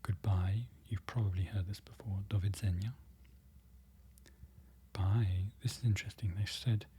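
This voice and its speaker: A presenter whispering an ASMR-style lesson, slowly repeating foreign words and correcting themselves. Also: sits low, fairly even intonation, slight background noise. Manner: whispering throughout, soft and close. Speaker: presenter learning Polish from a book.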